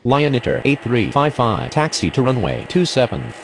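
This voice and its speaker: A man plays a courteous aviation radio voice for a game. His voice is creaky and fairly low with lively intonation, delivering procedural calls. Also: moderate pace, a little hiss or hum. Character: courteous male pilot and controller on radio. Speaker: pilot and air traffic controller radio voice. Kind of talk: scripted aviation radio phraseology.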